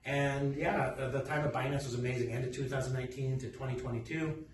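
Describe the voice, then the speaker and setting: A man's voice: mid-range voice, steady tone. Middle-aged guy from peru, ceo intro, stumbles and restarts a lot, faint hiss behind him. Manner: halting, many restarts and fillers. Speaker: company CEO. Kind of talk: casual self-introduction talk. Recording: echoey room, distant mic, faint noise.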